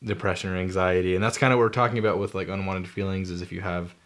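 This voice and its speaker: A man's voice: low-pitched, flat delivery, racing, a bit bright. A young man co-hosting a casual talk podcast, joking dryly while explaining therapy terms. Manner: joking, dry humor. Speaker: podcast co-host, therapy student. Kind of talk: casual chat.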